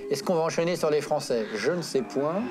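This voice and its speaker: A woman's voice: low voice, expressive, fairly quick, over music. A woman presenting a film column in casual panel talk, with an emphatic, repetitive, slangy way of talking. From of Italian origin. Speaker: film columnist on a geek culture show.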